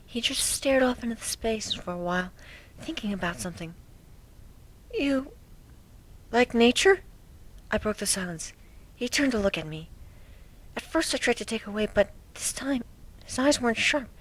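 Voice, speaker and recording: A woman acts out a gentle, anxious young pegasus mare with a high, soft voice. She speaks hesitantly and can sink toward a whisper. It is a first-person dramatic reading of a cartoon fan story. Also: animated, moderate pace, long pauses. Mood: shy, curious. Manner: hesitant, soft-spoken, breaking into near whispers.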